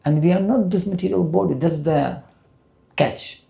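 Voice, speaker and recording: Older man, Russian accent, gravelly voice, mellow dark tone, earnest lecturing, spiritual teacher. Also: on the high side, moderate pace. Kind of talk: lecture to a temple audience.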